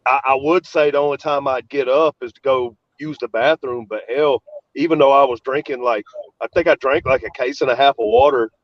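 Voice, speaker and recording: A man's voice: mid-range voice, no echo, muffled recording. Congested, sniffly man hosting a chat. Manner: rambling, relaxed storytelling. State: congested and sniffly, recovering from illness. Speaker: host. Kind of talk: casual podcast chat.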